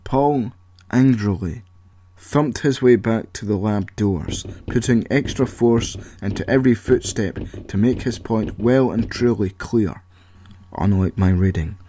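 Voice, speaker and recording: A man's voice: on the low side, unhurried, mellow, a little hiss or hum. A man reading a scripted story as narrator, with a wry, tongue-in-cheek manner. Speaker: author and narrator.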